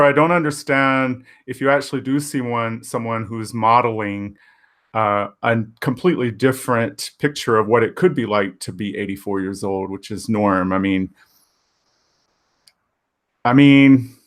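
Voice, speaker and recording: A man in his fifties, a panel guest, speaking earnestly and thoughtfully with pauses. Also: medium pitch, a bit bright. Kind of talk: casual panel discussion.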